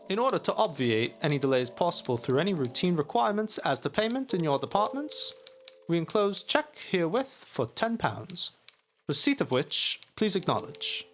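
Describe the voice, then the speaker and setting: A man playing a Victorian English solicitor, dictating a business letter in a formal, clipped and officious manner. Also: a little high. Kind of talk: dramatic audio drama letter reading.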